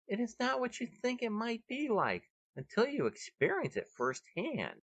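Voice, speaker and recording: A man voicing a dazed, haunted young woman back from death, speaking in a halting, ominous trance for a story podcast. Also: extremely high pitch, wildly swooping pitch, dry recording, muffled recording, voice pushed up, full character voice. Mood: weak, solemn.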